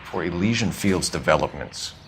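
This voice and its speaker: A man's voice: low-pitched, expressive, moderate pace, slight background noise. A man playing an earnest 1940s police detective in a video game, questioning in a clipped, formal way. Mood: curious, matter-of-fact.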